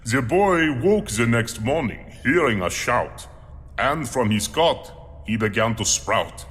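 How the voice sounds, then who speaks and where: A man's voice: mid-range voice, expressive, slow, a bit bright. A man playing a huge, courteous cannibal monster in an audio drama, earnest and proud, with formal, theatrical delivery. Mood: solemn, dramatic. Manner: formal, polite, theatrical verse recital. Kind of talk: audio drama acting with poetry recital. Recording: long hall-like reverb.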